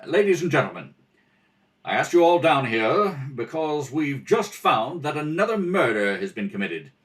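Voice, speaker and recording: A man playing a suave, wry gentleman detective in an old radio mystery. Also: on the high side, big pitch swings, normal speed, distant mic. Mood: probing. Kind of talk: old radio mystery acting, rehearsal read-through.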